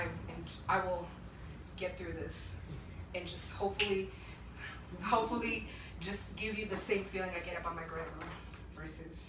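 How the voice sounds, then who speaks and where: A woman's voice: fairly low pitch, noisy background. Woman from Rwanda, echoey distant room. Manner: emphatic, rhythmic delivery with sudden loud bursts. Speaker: spoken-word poet. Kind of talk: live spoken-word poetry performance. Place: small indoor art gallery. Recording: echoey gallery room, mic far off.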